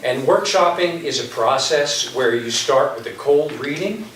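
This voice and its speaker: A man with a mid-pitched voice gives an informal stage introduction. He talks in halting, anecdotal bursts with many pauses, picked up from a distance in an echoey, slightly noisy space. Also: lively intonation, fairly bright tone. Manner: halting, anecdotal, pausing often. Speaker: theater artistic director introducing a play. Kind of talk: informal live introduction speech. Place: community center stage. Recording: echoey hall, distant mic, background noise.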